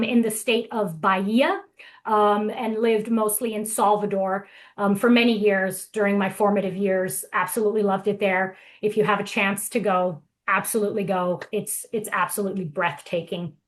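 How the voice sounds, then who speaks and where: A woman from Brazil giving a casual, chatty presentation as a guest speaker, talking with enthusiasm. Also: a little high.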